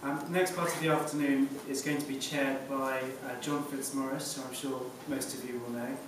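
Flat-toned man chairing an event, very echoey. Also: medium pitch, moderate pace. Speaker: event chair introducing speakers. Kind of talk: semi-formal event introduction. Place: conference room, indoors. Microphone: lectern mic, picked up by distant camera. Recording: very echoey room, distant, noisy background.

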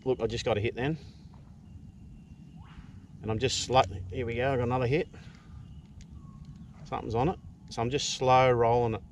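A middle-aged man with an Australian accent explains his fishing technique in a casual, unhurried way. His voice sits at an ordinary male pitch. He is recorded outdoors on a kayak by an action camera, with noticeable noise behind him. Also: steady tone. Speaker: fishing vlogger. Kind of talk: casual tutorial chat while fishing. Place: outdoors in a kayak on open water.

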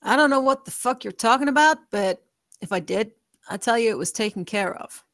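Woman acting a tough mother, highish and dry. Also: expressive, brisk, fairly bright tone, dry recording. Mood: guarded. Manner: stern, blunt, guarded. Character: tough, streetwise mother leading a crew. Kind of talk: script table read.

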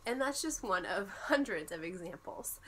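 A woman's voice: mid-range voice, expressive, normal speed, sharp tone, slight background noise. A young woman with a congested voice from a cold, chatting and explaining in a casual vlog. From United States. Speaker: vlogger. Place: bedroom at home.

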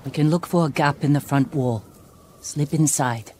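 A woman's voice: deep voice, moderate pace, a little mellow, slight background noise, natural voice. An actress playing a tough, pragmatic female survivor in a video game, blunt and intense but restrained. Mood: tense focus. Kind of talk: dramatic video game acting.

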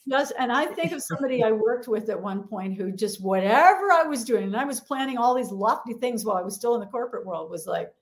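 A woman's voice: on the high side, quick pace, hardly any pauses. A middle-aged woman, a leadership coach, talking energetically as a podcast guest. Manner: energetic, acts out quoted voices. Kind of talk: podcast interview.

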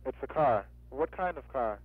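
A man's voice: medium pitch, animated, moderate pace, slight background noise. A man working as a rental agent on a customer service phone call, insistent and questioning, often repeating himself. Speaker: car rental office agent. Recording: tinny, narrow-band phone line.

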